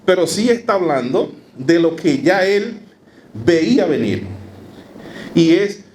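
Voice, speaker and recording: A man with a Dominican accent giving an academic lecture to a live audience, emphatic and halting, repeating phrases. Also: fairly high pitch, big pitch swings, a little mellow. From Dominican Republic. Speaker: academic speaker. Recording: live talk with noticeable background noise.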